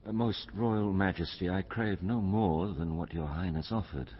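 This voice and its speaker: An actor playing a courteous, reserved duke, speaking formally and hesitantly in classical Shakespearean stage style. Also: male voice, sits low, normal speed. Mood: hesitant, polite. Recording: muffled, reverberant hall.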